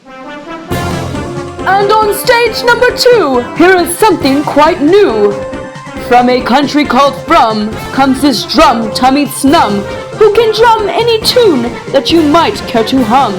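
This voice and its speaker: A woman's voice: high-pitched, animated, takes it slowly, music in the background, low-quality recording. A woman voicing an eager, boastful young boy from a storybook who talks in grandiose, singsong showman's patter. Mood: proud showman.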